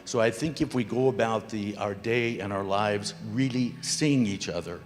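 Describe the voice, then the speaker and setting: Man, middle-aged, lowish pitch, earnest and reflective, speaking in a long-echo hall. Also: normal speed, faint music behind. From Democratic Republic of the Congo. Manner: earnest, reflective, quotes proverbs. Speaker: audience member asking from the floor. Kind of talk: public remarks at a panel event. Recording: reverberant hall.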